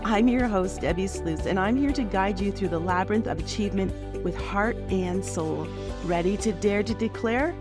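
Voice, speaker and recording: A woman's voice: medium pitch, moderate pace, over music. A woman hosting a podcast, moving between scripted introduction and interview questions. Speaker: podcast host.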